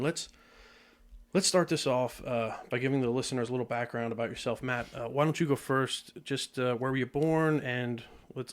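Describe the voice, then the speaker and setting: Easygoing man hosting a podcast. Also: medium pitch. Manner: jokey, relaxed, teasing. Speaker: podcast host. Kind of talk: casual podcast chat. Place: podcast studio. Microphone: studio mic.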